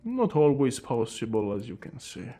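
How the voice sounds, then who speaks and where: A man playing a courteous, calm and wry centuries-old vampire in an ASMR roleplay, speaking in a hushed voice that drifts into whispers with theatrical pauses. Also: mid-range voice, soft background music. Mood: explaining, guarded. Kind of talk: ASMR roleplay addressed to the listener.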